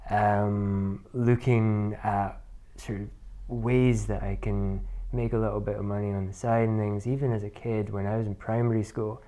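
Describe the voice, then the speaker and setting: Scottish guy from inverness, lowish voice, chatty and wry, keeps correcting himself. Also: little pitch movement, normal speed, few pauses, mellow. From Scotland. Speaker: business co-founder guest. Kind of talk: casual interview chat.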